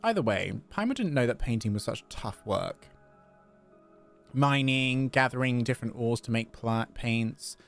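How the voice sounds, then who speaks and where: A small, chatty companion from a video game who keeps talking about herself in the third person, put on by a man reading the lines aloud. The voice carries a gravelly edge and bounces through a lively pitch range. A faint bit of music sits underneath. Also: unhurried.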